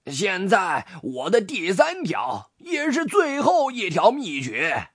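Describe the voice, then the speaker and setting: A storyteller voicing a kindly old grandfather toad, brave and wily, with a husky voice. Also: medium pitch, huge pitch swings, takes it slowly, no room sound, clean studio sound, voice pushed up, heavily acted. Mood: pleased, instructive. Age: older.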